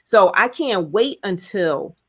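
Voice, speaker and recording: A woman's voice: mid-range voice, animated, slow, husky, dry recording, studio quality. A woman in her fifties hosting a challenge group, talking in an earnest, personal way and repeating key points for emphasis. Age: 50s. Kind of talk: casual group talk.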